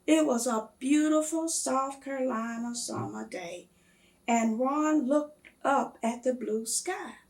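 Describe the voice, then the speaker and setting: A woman reading a children's story aloud in a measured, expressive storytelling manner. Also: high-pitched.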